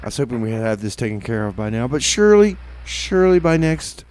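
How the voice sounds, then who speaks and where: A man's voice: medium pitch, sing-song, fast, darkish tone. A man hosting a community radio music show, talking casually in a rambling, hesitant way with many fillers and restarts. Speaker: radio DJ host.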